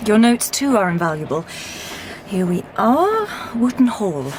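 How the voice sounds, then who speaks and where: Shrewd period lady detective in audio drama. Medium pitch, measured, quietly probing, clear noise behind. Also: sing-song. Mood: shrewd, composed. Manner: measured, probing, dryly questioning. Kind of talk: period radio drama acting.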